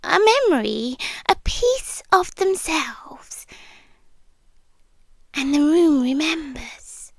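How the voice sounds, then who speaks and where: A British woman playing a possessive, unsettling yandere girlfriend in an ASMR roleplay, softly and breathily, often slipping into a whisper. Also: sits high, expressive, no room sound, heavily acted. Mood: softly eerie. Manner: slow, deliberate, lingering pauses. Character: possessive, unsettling yandere demi-human girlfriend, tender yet menacing. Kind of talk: ASMR roleplay, slow intimate delivery. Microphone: close microphone, dry.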